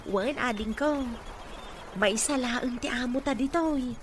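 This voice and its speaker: A chatty, friendly housemaid acted by a woman with a high voice and a deferential manner. It is radio drama acting on a clearly noisy recording. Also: measured pace, close to an everyday voice. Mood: friendly, confiding.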